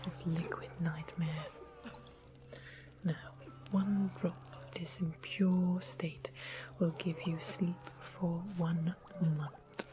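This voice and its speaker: A sweet, genteel witch, played by a woman, whispers low and breathy in a fantasy ASMR roleplay. She explains things without hurry, in a soft and polite way. Clear ambient noise sits behind her whisper. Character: witch of the west, sweetly genteel potion seller.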